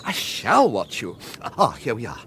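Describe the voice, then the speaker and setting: An actor playing a precious, easily offended gentleman with an upper-class English accent in a radio comedy. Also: mid-range voice, huge pitch swings, a little slow, vocal fry, slight background noise, exaggerated voice. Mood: delighted, fussy. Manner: precious, exclaiming, petulant baby talk. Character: fussy, camp, easily offended gentleman, devoted lapdog friend.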